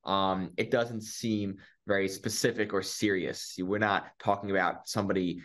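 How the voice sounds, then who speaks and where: Male advocate, 30s, lowish voice, interviewed over video call. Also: little pitch movement, normal speed, a bit bright. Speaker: free speech advocate, interviewee. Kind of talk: interview answers. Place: small indoor office.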